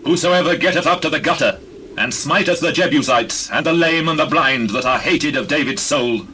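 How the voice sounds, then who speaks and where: Male actor for ancient warrior king in Bible drama: sharp, forceful, slightly high, declamatory and earnest, over some noise. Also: moderate pace, low-quality recording. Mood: bold, declaring. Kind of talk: dramatized scripture reading.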